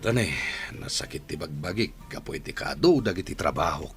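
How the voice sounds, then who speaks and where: A man's voice: extremely low pitch, lively intonation, moderate pace, soft background music. A man playing a jovial, work-weary village buddy in a comedy radio drama. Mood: jovial, teasing.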